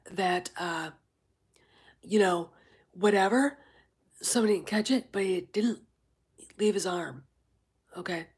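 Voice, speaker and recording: A woman hosting a casual livestream, a little stuffed up from congestion, rambling with many fillers and breaking off to greet chat viewers. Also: medium pitch. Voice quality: very husky, often near a whisper. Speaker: livestream host.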